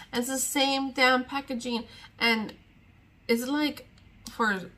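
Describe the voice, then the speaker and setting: A woman's voice: a little high, pushed and bright. Rambling, chatty woman vlogger. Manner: rambling, chatty, exasperated about packaging. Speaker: beauty vlogger. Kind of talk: casual sit-down chat to camera.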